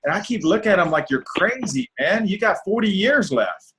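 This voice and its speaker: A man's voice: high voice, sing-song, normal speed, fairly bright tone, a little hiss or hum. A man hosting a casual panel talk, upbeat and encouraging toward his guests. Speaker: host.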